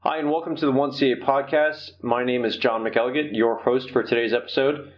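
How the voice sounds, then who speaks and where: A man's voice: medium pitch, fairly even intonation, brisk, soft background music. Orderly American male podcast host, dull audio. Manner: polite, orderly, explanatory. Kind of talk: interview hosting, prepared introduction.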